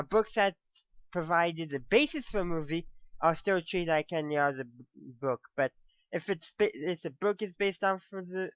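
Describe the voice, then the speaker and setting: A man with a fairly high, clean voice talks through a casual review. He rambles and stops short, backing up to rephrase as he goes. The sound is fairly dry and a little dull. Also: clear, resonant tone, dry recording. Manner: halting, often backtracking and rewording mid-sentence. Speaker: book reviewer.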